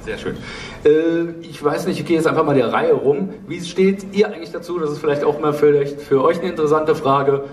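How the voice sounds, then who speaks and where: Male panel host, slightly high voice, halting delivery, echoey stage sound with faint noise. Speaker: panel host, audio drama producer. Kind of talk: live stage moderation. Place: theatre stage, indoors. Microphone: handheld mic over PA, recorded from audience. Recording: roomy, distant hall recording with noise.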